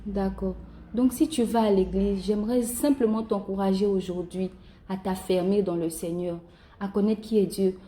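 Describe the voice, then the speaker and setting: A woman's voice: medium pitch, fairly even intonation, moderate pace, mellow, a little hiss or hum. A woman speaking as a phone evangelist, preaching informally and directly to one listener in an earnest, encouraging way. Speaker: evangelist calling strangers by phone.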